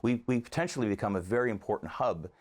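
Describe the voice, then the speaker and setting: A man with a fairly low voice speaks as a guest in a conversational interview. He comes across as an earnest local advocate, setting out his argument one point at a time. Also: quick pace.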